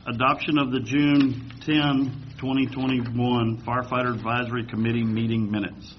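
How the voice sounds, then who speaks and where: A man's voice: mid-range voice, level tone, moderate pace, noisy background. A man reading agenda items and a roll call at a meeting in a matter-of-fact way. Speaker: committee clerk reading the agenda. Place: meeting room. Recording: dull lo-fi meeting recording.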